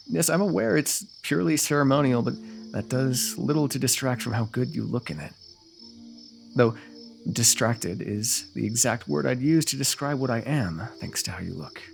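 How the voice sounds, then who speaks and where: Male actor as royal ruler, courtly, flirtatious, teasing, smitten, gravelly creak, mid-range pitch, ASMR fantasy roleplay.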